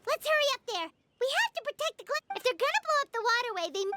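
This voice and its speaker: A woman voicing a tiny, childlike, excitable fairy companion with a squeaky voice in a video game. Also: quick pace, background noise. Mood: urgent. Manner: exclamatory, refers to self in third person. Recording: music behind the voice.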